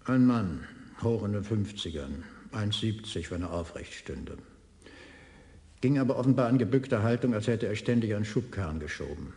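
Low male voice, offhand and clinical, a pathologist, long reverb. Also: animated, normal speed, warm tone. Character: brisk, matter-of-fact male pathologist. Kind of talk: 1980s German radio crime play acting.

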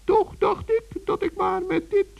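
A man voicing a small old gnome, gentle, shy and dithering, in a children's radio play. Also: very high-pitched, steady tone, unhurried, creaky, faint music behind, pitched above the performer's own voice, heavily acted. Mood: pitying, earnest. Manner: dithering, repeats himself, talks in diminutives. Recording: dull old radio recording.

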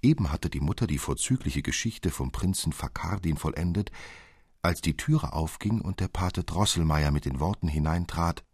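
A man narrating a literary fairy tale for radio as an expressive storyteller. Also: low-pitched, moderate pace, slightly rough, no echo, studio quality.

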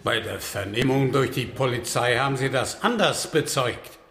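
A man's voice: mid-range voice, moderate pace, a bit bright, lightly acted. An actor playing a stern presiding judge in a radio drama, formal and declamatory in his delivery. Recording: reverberant hall.

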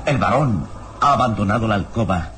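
A man's voice: fairly low pitch, lively intonation, fairly quick, heavy background noise. A man narrating an old radio serial in a solemn, suspenseful, theatrical style. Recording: old radio recording, music behind.

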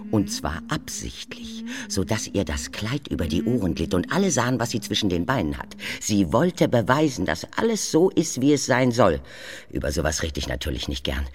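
An actor playing an insinuating courtier in a radio drama, spreading scandal with mock discretion. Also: male voice, on the low side, huge pitch swings, moderate pace. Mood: gossiping, insinuating. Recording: loud music over voice.

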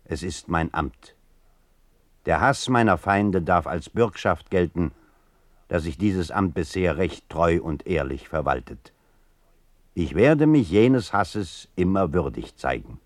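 A resolute nineteenth-century writer with a low voice, recorded close and dry. He declaims his ideals earnestly and in measured phrases, as in a serious radio play. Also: lively intonation, no echo. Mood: solemn, resolute. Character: 19th-century male political writer, solemn, resolute, idealistic.

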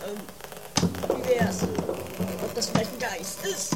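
A woman's voice: on the high side, slow. A woman playing a grumpy, dismissive oddball with an exaggerated drawling manner in an amateur comedy radio play. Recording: roomy distant 1980s home recording, music behind.

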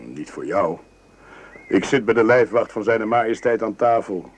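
An actor playing a confident, worldly French imperial guard lieutenant in a radio play, jovial and self-assured. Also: male voice, on the low side, animated, normal speed. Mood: confiding, grave.

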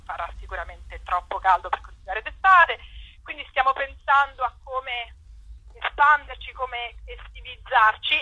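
A woman's voice: on the high side, pushed and bright, husky, rough recording. A woman, chatting casually as an interview guest, hesitant and often trailing off.

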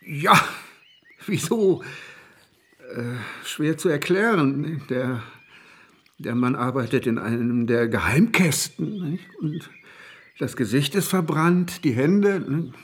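Interrogated husband, radio play, husky, halting, evasive, repetitive, on edge. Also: male voice, fairly high pitch, lively intonation, heavily acted. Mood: nervous, evasive. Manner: hesitant, halting, flustered, repetitive. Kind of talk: German radio drama acting.

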